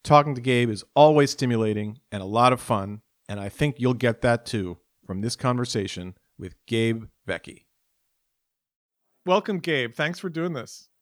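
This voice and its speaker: Guy hosting a science podcast, measured and precise, dry close mic. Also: mid-range voice, animated, dry recording. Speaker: podcast host. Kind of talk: scripted introduction then interview.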